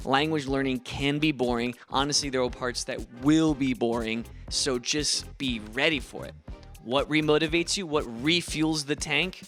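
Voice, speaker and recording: A man's voice: medium pitch, a bit bright. A young man from Ohio with an Ohio accent, teaching a casual, encouraging video lesson in a chatty, upbeat way. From Ohio, USA. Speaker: language teacher, channel host.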